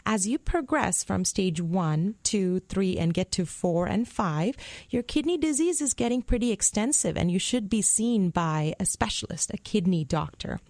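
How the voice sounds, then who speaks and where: Upbeat woman in her thirties from India, patient explainer, dry studio boom mic. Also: animated, moderate pace, a little mellow, dry recording. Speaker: kidney doctor guest. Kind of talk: explanatory health talk. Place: radio studio.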